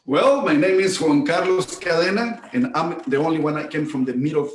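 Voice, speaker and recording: A man's voice: a little high, brisk, slight background noise. Friendly man explaining, echoing room. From Reynosa, Mexico. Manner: friendly, explanatory, filler-heavy. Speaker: mariachi guitarist. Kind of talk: casual stage talk. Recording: roomy echo.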